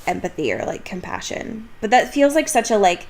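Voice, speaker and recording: A woman's voice: mid-range voice, sing-song, a little fast, a little mellow. A young woman co-hosting a podcast in casual, irreverent chat, talking in a slangy, sweary, self-mocking way. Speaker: podcast co-host.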